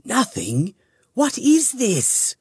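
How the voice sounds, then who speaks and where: A man reading fantasy fiction aloud, voicing a cruel, furious woman sorceress who growls and shouts. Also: extremely high pitch, wildly swooping pitch, very slow, breathy edge, no room sound, studio quality, pitched above the performer's own voice, heavily acted.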